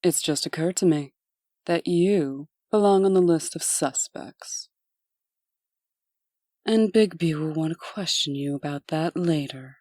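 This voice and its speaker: A woman plays a cold, businesslike ex-wife in a comic dub. Her voice is deep and dark, dryly recorded, and full of sardonic venom. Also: a little slow, no echo, studio quality. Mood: scornful. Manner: cutting, sardonic. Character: cold, venomous, no-nonsense ex-wife and busy administrator.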